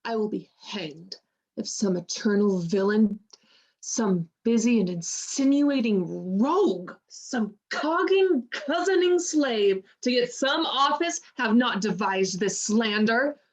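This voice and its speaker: A woman playing an outraged, sharp-tongued, loyal waiting-woman in a Shakespearean radio play, emphatic and scornful. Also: a little high, lively intonation, slow pace, exaggerated voice, low-quality recording. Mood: furious, scornful.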